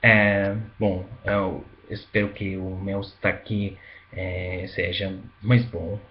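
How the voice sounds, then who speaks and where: A young man with a non-native accent talking about himself in a casual video, slowly and hesitantly, with many fillers and restarts. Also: low voice, little pitch movement, highs cut off, a little hiss or hum. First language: not Italian, Portuguese or Thai. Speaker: language learner vlogger. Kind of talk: casual spoken language demonstration.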